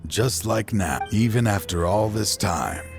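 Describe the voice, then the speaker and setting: A man's voice: lowish voice, animated, music in the background. An actor playing a weary, remorseful aging father turned power-hungry villain in a video game, brooding and theatrical. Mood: knowing, resigned. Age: older. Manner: brooding, theatrical, deliberate, with sighs. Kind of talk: dramatic video game voice acting.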